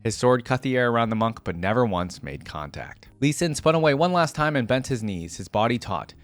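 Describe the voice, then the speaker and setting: A man's voice: mid-range voice, very animated, a little fast. A man hosting a reaction video, switching between dramatic story reading and casual commentary.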